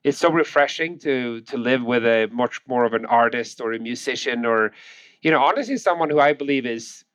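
A man's voice: mid-range voice, brisk, clear, resonant tone, dry recording. Swedish guy in his 40s chatting easy on a podcast, kinda muffled, dead dry sound. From Sweden. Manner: relaxed, chuckling, restarts often. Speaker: guest. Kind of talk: conversational interview.

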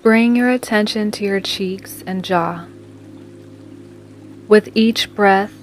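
A woman guiding a scripted meditation in a soothing, measured manner with long pauses. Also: medium pitch. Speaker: meditation guide and podcast host. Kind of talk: guided meditation, scripted narration. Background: music.

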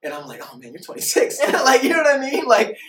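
A man's voice: very high voice, huge pitch swings, fast, distant mic. Late-30s man, guest, loose rambly chat with lots of laughs, sits back from the mic in a roomy space with faint hiss. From Philippines. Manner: rambling, laughs often, self-interrupting. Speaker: podcast guest. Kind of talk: casual chat.

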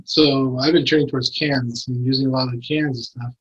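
A man in his forties from San Diego, a wine professional giving relaxed, plain-spoken answers in an interview. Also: mid-range voice, fairly quick, slightly rough. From San Diego, USA. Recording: dull lo-fi sound.

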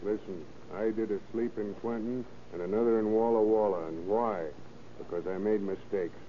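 A man's voice: on the low side, normal speed, slight background noise, close to an everyday voice. Man acting a cocky convict on muffled old radio. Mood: cocky, confiding. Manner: tough slangy talk. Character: cocky, hardened male convict boasting of schemes. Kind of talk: 1930s radio crime drama acting.

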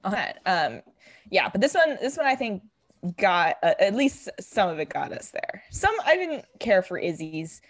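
A young woman with a booming voice, co-hosting a podcast and recapping a TV episode in casual, filler-heavy chat. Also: big pitch swings, normal speed, no room sound, studio quality. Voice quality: booming voice that projects. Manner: chatty, full of fillers like "like" and "um". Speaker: podcast co-host.

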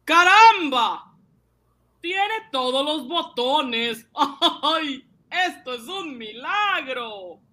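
A man acting a simple, excitable, poor ice-cream vendor and husband in a radio serial, with folksy, naive exclamations. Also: very high voice, drawn-out words, full character voice. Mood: amazed.

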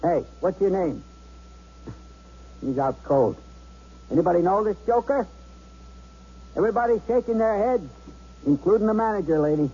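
A man's voice: high-pitched, lively intonation, normal speed, long pauses, warm tone, slightly rough, full character voice. An actor playing a cocky, volatile bank robber in a radio drama, with sarcastic, bossy, slangy tough-guy talk. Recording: dull, noisy 1970s radio broadcast.

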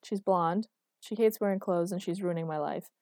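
A woman's voice: medium pitch, moderate pace, mellow, no room sound, close to an everyday voice. A woman narrator speaks as a responsible, exasperated man in an audiobook. He is firm and bossy, nagging his partner with long-suffering patience. The sound is dry and close. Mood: exasperated, pleading. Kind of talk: acted audiobook dialogue.

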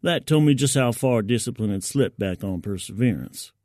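A man's voice: mid-range voice, expressive, normal speed, a little mellow, no room sound, studio quality. A man narrating first-person fiction dramatically, in a gritty, colloquial storytelling manner.

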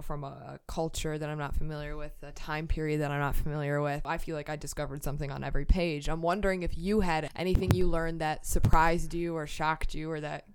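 A woman's voice: low-pitched, clear, resonant tone. An American woman hosting a podcast, talking conversationally about a book in an articulate, reflective way. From United States. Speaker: podcast host.

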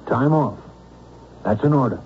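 An actor playing a kind, commanding old chief surgeon in a 1970s radio drama, on a muffled recording with faint hiss. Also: male voice, medium pitch, expressive, unhurried, lightly acted. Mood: firm. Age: older. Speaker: doctor.

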